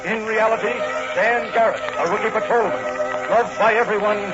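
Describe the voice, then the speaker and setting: A man's voice: sits high, steady tone, moderate pace. A man announcing and narrating in the dramatic style of a 1940s radio serial. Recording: dull old radio transcription with music.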